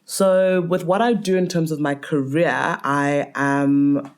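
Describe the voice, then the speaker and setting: Woman, 20s, very low voice, reflective, candid, casual fillers. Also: steady tone, slow pace. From Botswana. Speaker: podcast guest. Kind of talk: casual podcast interview.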